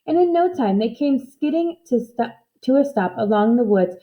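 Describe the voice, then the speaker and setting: High-pitched woman reading a storybook aloud. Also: lively intonation, normal speed, lo-fi sound. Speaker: storybook reader. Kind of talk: expressive read-aloud narration.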